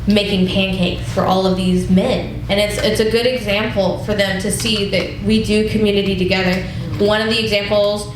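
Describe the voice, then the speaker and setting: A woman's voice: medium pitch, flat delivery, normal speed, few pauses, noisy background. Woman telling her story in an echoey hall. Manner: earnest, conversational, frequent ums. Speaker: women's group leader. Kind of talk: personal testimony talk. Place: church hall. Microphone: wireless mic that cuts out.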